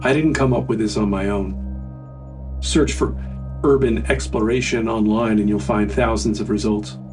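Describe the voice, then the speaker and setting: A man's voice: on the low side, fairly even intonation, measured pace. Distant-sounding man narrating over music. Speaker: horror story narrator. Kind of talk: scripted first-person story narration.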